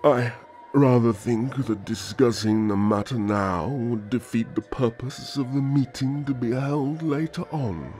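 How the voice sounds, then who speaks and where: A man playing an authoritative elf high king in a fantasy audio drama, formal, regal and diplomatic in manner. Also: a little mellow, close to an everyday voice.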